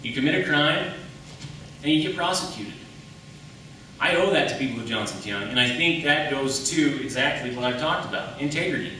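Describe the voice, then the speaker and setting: A man's voice: fairly high pitch, brisk, sharp tone. A man giving an emphatic political debate speech as a candidate, driving points home with repetition and rhetorical questions. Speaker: candidate for county attorney. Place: large echoey room at a public debate. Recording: echoey room, distant mic, faint noise.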